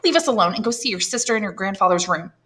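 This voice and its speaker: Woman reading as a nervous aristocratic lady, raised pitch, eager questioning, storybook voice. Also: expressive, very fast, a bit bright, lightly acted. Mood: eager, probing. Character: curious, flattering aristocratic lady, mother, high-strung.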